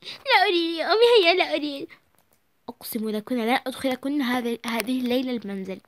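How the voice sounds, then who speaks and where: A woman voicing a stern mother who loudly scolds her two daughters. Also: a little high, fairly even intonation, fast, slight background noise, close to an everyday voice. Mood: scolding. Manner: loud, scolding.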